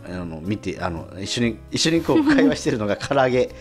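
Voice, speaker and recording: A man hosts a casual radio show. His delivery is halting but lively in pitch, full of small agreeing interjections. Music plays behind him. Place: radio studio.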